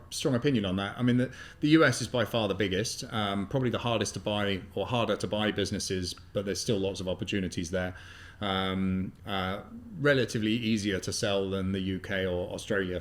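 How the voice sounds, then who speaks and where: Man in his fifties, slightly low pitch, hesitant and filler-heavy, relaxed guest answers. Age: 50s. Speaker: guest, business buyer. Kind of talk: casual interview talk.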